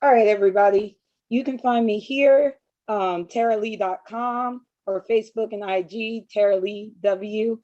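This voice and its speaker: A woman with a worn, hoarse voice reads her poem slowly and calmly over a video call. Her tone is dark and mellow, and the sound is a bit dull and lo-fi. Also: mid-range voice. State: voice going hoarse. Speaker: poet. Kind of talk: reading her own poem.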